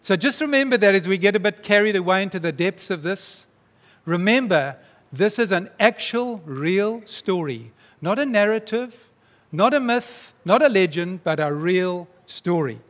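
A high-pitched male pastor delivers an earnest, emphatic sermon. His voice carries a long reverb, the sound of a big church hall. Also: expressive, moderate pace.

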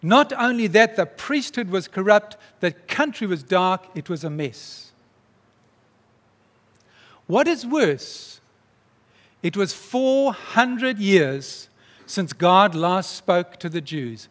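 Kiwi pastor guy preaching, kinda high voice, earnest and emphatic, church echo all around. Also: animated, takes it slowly, pauses often, fairly bright tone. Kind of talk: sermon. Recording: long reverb of a church hall.

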